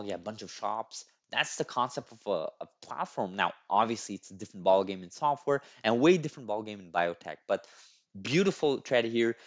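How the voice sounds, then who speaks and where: A young man, not a native English speaker, reading and commenting on a thread as a channel host, restarting words and adding tag questions. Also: on the low side. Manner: repeats and restarts words, many "right?" tags. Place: small room at a desk. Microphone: headset. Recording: dull lo-fi audio.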